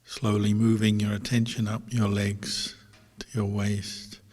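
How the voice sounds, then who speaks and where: A man teaching as a Buddhist monk, guiding a meditation in measured short phrases with long pauses between them. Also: fairly low pitch, flat delivery, a little mellow. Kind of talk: guided meditation instruction. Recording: long hall reverb.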